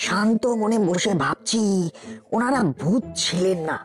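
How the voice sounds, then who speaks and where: A woman's voice: mid-range voice, lively intonation, a little slow, soft background music, natural voice. Woman voicing an earnest, curious young cartoon boy. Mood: eager, secretive. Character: Nut, bold curious young village boy sleuth. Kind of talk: cartoon dialogue.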